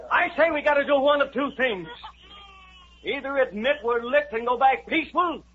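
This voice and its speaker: A surly high-voiced settler. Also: male voice, normal speed, a little hiss or hum. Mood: defiant. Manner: gruff, rough-spoken. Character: surly male settler, a spy, whining. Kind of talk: 1950s radio drama acting. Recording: tinny old radio broadcast.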